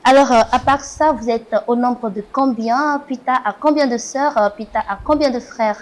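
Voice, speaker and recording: A woman's voice: a little high, moderate pace, poor audio. A woman hosting a talk show, asking questions with frequent hesitant fillers. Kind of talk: interview questions.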